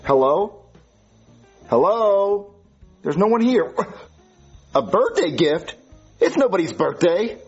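A man's voice: high-pitched, sing-song, takes it slowly, pauses often, darkish tone, slightly rough, muffled recording, soft background music, heavily acted. A man voicing an exasperated, easily flustered father.

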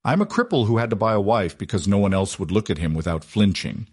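A man's voice: lowish voice, animated, normal speed, slightly rough. A man doing a bitter, wounded duke. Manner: quiet, blunt and growling. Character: bitter wounded duke, feverish, gruff and blunt.

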